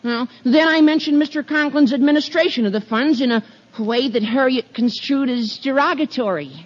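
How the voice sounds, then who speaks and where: An actor playing an overwrought, bookish high school boy with a cracking adolescent voice and grandiose wording, in 1950s radio comedy style. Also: moderate pace. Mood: sulky, earnest. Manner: florid, grandiose big-word delivery. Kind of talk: 1950s radio sitcom acting. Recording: dull old 1950 radio broadcast.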